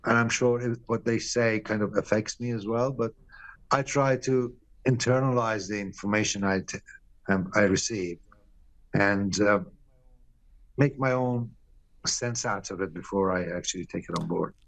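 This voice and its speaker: A middle-aged man from Iran, a painter being interviewed, talking candidly and bluntly, often pausing and restarting his sentences. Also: on the low side, no echo, poor audio. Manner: candid, blunt, swears casually. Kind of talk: reflective interview answers.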